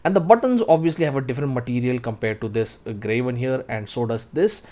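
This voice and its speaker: Man in his thirties, mid-pitched voice, software tutorial instructor, talking through each step, close desk mic, keyboard typing. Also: fast.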